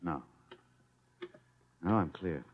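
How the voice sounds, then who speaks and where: A desperate fugitive husband in classic radio drama. His voice is on the low side and moves expressively in pitch. He speaks earnestly and firmly, protective of his wife. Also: expressive, drawn-out words, lo-fi sound, noisy background, heavily acted. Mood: weary reassurance. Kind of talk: vintage radio drama acting. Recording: old radio drama recording.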